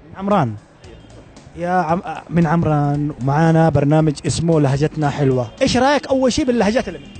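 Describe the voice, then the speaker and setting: A man with a Yemeni accent interviewing passersby in the street with brisk, upbeat questions. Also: a little high, animated, darkish tone, faint music behind. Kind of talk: street vox pop interviews.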